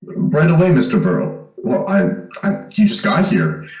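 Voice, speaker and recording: A man playing a bashful, polite young son who talks haltingly and uneasily, in old-time radio drama style. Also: a little high, huge pitch swings, darkish tone. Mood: sheepish, uneasy. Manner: halting, sheepish. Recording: echoey room.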